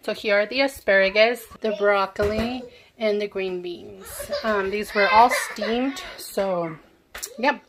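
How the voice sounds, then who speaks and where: A woman's voice: mid-range voice, takes it slowly. Casual woman vlogger in her late twenties, talks to camera at home, noisy home-video sound. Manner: chatty, addresses viewers directly, many fillers. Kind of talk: casual home vlog chat. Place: home kitchen.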